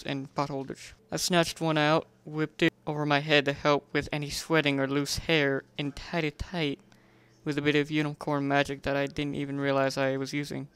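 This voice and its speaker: A narrator giving a first-person dramatic story reading in an animated way, sometimes stumbling and rereading lines. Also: medium pitch, smooth. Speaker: narrator reading a fan fiction.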